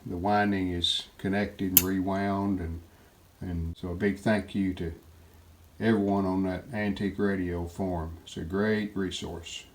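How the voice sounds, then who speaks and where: Low-voiced man explaining a hands-on repair. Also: little pitch movement, unhurried. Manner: plain-spoken, matter-of-fact step-by-step explaining. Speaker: restoration video host. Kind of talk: casual hands-on repair narration. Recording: clearly noticeable background noise.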